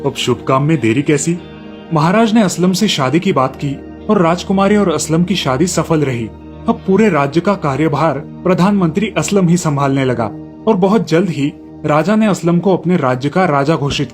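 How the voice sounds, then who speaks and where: A man reading scripted narration for children's moral stories as the storyteller. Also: a little high.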